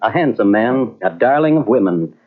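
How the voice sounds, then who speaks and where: Lowish man narrating an old radio story, dry and wry, tinny tape sound. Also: expressive, normal speed. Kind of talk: scripted narration.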